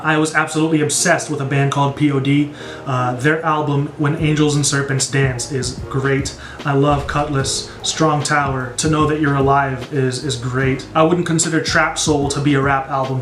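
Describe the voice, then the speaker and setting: A man's voice: mid-range voice, fairly bright tone. A young man in his twenties with an American accent, answering viewer questions casually and enthusiastically as a video host. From born in Brazil, grew up in the United States from age three. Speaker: YouTube music reaction host. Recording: roomy echo with music behind. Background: background music.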